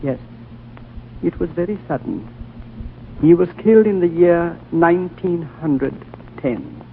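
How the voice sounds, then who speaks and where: A very old, gentle holy man with a fairly high voice, telling things slowly and solemnly. It is vintage radio drama, with a thin, band-limited sound and some background noise. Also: lively intonation, vocal fry, full character voice. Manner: measured, solemn, deliberate storytelling. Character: ancient, wise, gentle male High Lama. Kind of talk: 1940s radio drama acting. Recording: tinny, noisy old 1940s radio recording.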